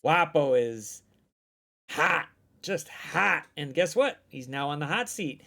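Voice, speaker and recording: Male stream host, fairly high voice, excitable, profane, rambling. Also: slow, vocal fry. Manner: excitable, swears freely, repeats himself. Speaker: streamer hosting a guest chat. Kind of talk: loose casual chat. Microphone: desk microphone.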